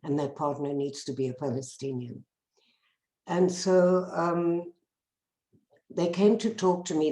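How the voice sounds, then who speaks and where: An older South African woman speaks with a low, mellow voice, earnest and unhurried, often pausing on small fillers. She tells her own story to a listening group. The sound carries an echoey room around her. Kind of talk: personal testimony talk.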